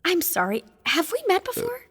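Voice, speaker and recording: A woman's voice: extremely high pitch, wildly swooping pitch, normal speed, fairly bright tone, exaggerated voice. A woman playing a bold, curious young newcomer in an audio drama, inquisitive and drily sardonic. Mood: excited, bold. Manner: inquisitive, dry, challenging. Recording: reverberant hall-like sound.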